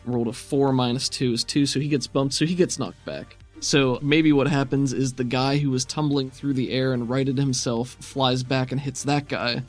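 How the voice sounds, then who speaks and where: A man's voice: mid-range voice, steady tone, normal speed, hardly any pauses, faint music behind. A man running a tabletop roleplaying game, explaining rules and narrating the action in an informal, conversational way. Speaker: game master.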